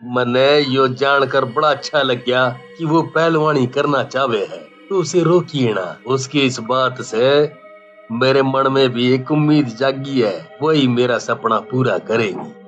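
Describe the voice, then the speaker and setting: A man's voice: medium pitch, fairly bright tone. An actor with a Haryanvi accent voicing the ghost of a stern but loving wrestler father in a cartoon, speaking firmly and unhurriedly. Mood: firm, fatherly. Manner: firm, unhurried fatherly speech. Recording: slightly muffled lo-fi audio. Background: faint music.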